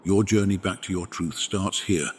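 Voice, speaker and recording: Man voicing a deep, wise, gentle dragon. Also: fairly even intonation, slightly rough. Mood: sincere, encouraging. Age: adult parent with younglings. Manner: formal, measured, reassuring. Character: wise, gentle, protective male dragon mentor. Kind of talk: ASMR roleplay, scripted comforting monologue.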